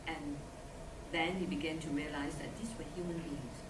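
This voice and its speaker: A woman's voice: deep voice, steady tone, normal speed. An older woman from Singapore, a surgeon, giving an earnest, conversational talk to students, restarting her sentences as she goes. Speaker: guest speaker, surgeon. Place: lecture hall. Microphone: distant from the mic. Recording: echoey, distant hall recording with loud hiss.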